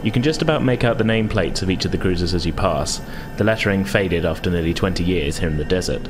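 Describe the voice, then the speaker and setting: A man narrating a tabletop roleplaying game as game master, with measured, descriptive storytelling. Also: low voice.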